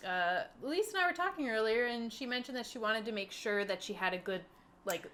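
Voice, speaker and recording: Female tabletop player, bright and high, casual in-character chatter, slight room noise. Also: lively intonation, brisk. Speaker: tabletop roleplaying player on a stream. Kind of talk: casual improvised in-character game chat.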